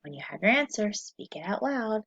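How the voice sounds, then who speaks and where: A woman teacher reading a lesson aloud to children, slow and clear, pausing so they can answer. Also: medium pitch, very animated, a bit bright.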